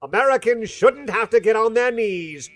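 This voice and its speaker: An actress playing a grave, alarmist commercial announcer in a radio play, reading a scripted advert in a deliberate, dramatic manner. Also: female voice, fairly high pitch, expressive, lightly acted. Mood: grave warning.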